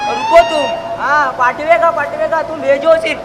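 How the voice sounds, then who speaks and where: A flustered rustic trader acted by a stage performer chatters in a high voice as though on a phone call. The speech is broken and mixed, played for comedy in live school theatre. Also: steady tone, moderate pace, over music, crowd noise, lightly acted.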